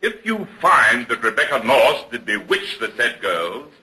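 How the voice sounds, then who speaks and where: A stern colonial judge with a piercing, very forceful middle-pitched voice. He declaims solemnly and formally, in measured vintage radio style. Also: very animated, exaggerated voice, poor audio. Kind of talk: 1940s radio acting.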